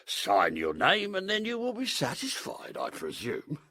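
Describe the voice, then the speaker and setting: A sly barber of melodrama, husky and very dry in sound. His pitch leaps and dives as he pours out unctuous, mock-courteous theatrical lines. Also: wildly swooping pitch, a little slow, sharp tone, dry recording, full character voice. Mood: persuasive, grandiose. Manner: unctuous, theatrical, swooping delivery. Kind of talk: period audio drama acting.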